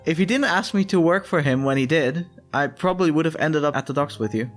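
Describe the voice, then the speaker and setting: Man voicing a hesitant, soft-spoken farm lad. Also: a little high, animated, quick pace, faint music behind, lightly acted. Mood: hesitant, subdued. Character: modest young farm lad, hesitant and polite. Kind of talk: visual novel character voice reading.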